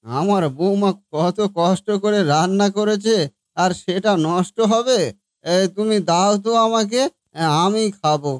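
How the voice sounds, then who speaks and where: Man playing a kindly, high-pitched old cartoon father-in-law. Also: unhurried, dry recording. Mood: protective, insistent. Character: kindly, protective older father-in-law, coaxing and insistent. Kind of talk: Bengali family comedy cartoon dubbing.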